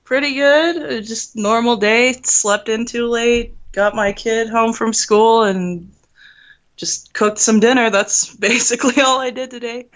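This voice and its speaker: A woman's voice: fairly high pitch, a little slow, a bit bright, muffled recording. A woman who is a podcast guest, shy and laughing often, still a little sick. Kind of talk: casual interview.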